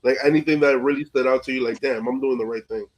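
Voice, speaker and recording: A man's voice: mid-range voice, fairly even intonation, fast talker, poor audio. Casual New man hosting an interview. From New York, USA. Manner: informal slangy phrasing, peppered with "like" and "you know". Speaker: podcast host interviewer.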